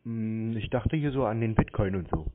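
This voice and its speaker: A man bantering casually on phone calls in a deadpan, laconic, provoking manner. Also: lowish voice, normal speed. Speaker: prank call recipient and YouTuber. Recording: tinny narrow phone-call audio, noisy.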